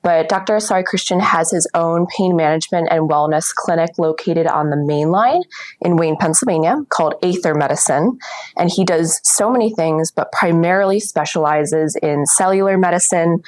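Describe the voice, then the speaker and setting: A young woman hosts an interview with a friendly, affirming manner. Her voice is on the lower side for a woman. The sound is dry and close, with no room around it. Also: normal speed, darkish tone, no room sound. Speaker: podcast host, physical therapist. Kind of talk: interview hosting.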